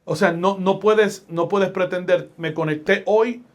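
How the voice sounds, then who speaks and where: A middle-aged man from Puerto Rico with a Puerto Rican accent, a pastor and radio host telling stories in a podcast interview with a deliberate, emphatic preaching delivery. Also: high voice. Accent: Puerto Rican Spanish.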